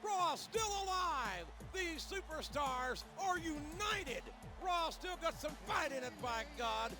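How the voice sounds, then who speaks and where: A frantic wrestling play-by-play announcer in a video game, extremely high in pitch and swooping widely. The delivery is partisan and melodramatic, with clear music behind it. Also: male voice, sing-song, slow, full character voice. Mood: elated. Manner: shouting, alarmed, melodramatic. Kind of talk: excited sports commentary.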